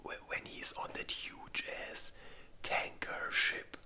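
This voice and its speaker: ASMR host, whispered voice, slow pace, bright airy hiss, casual halting rambling. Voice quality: breathy unvoiced whisper. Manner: informal, slow, halting delivery with pauses.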